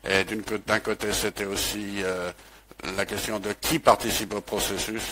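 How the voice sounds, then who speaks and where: Low flat-voiced guy doing live interpretation, lots of ums and restarts. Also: moderate pace, slight background noise. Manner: hesitant, many fillers and restarts. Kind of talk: live interpretation of a webinar talk.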